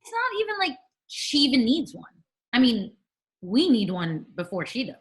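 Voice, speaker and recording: A woman's voice: on the high side, huge pitch swings, normal speed. An American woman playing a bold, bossy thirteen-year-old schoolgirl in a script table read on a video call. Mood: scheming. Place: room at home, on a group video call.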